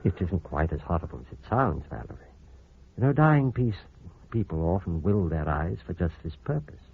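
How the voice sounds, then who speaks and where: An actor playing a grave, considerate doctor in an old-time radio drama, speaking in a measured, explanatory way. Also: male voice, sits low, lively intonation, creaky, husky, heavily acted. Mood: grave, explaining. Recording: muffled, hissy old radio recording.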